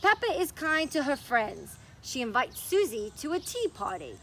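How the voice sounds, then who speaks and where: High-voiced woman leading children's story time. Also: wildly swooping pitch, moderate pace, a bit bright, slight background noise. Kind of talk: children's storytime read-aloud and craft instruction.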